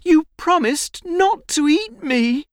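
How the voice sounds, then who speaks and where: A woman's voice: a little high, wildly swooping pitch, slow pace, pitched above the performer's own voice, exaggerated voice. A woman voicing a frightened, friendly little boy in a storybook, protesting earnestly.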